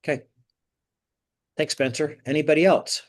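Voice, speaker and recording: A man moderating an online group discussion as a coach, talking conversationally and often repeating words in a halting way. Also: on the high side, fairly even intonation. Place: online video call.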